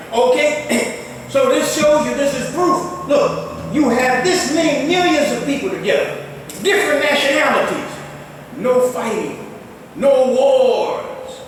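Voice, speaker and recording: An older imam delivers an emphatic Friday sermon in a high, climbing voice, his first language not Arabic. He sounds distant from the microphone, his words ringing through a large, echoing prayer hall. A faint rumble sits underneath. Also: a bit bright. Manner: emphatic preaching with rising exclamations. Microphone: distant mic in a mosque. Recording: echoey hall, far from the mic, faint rumble.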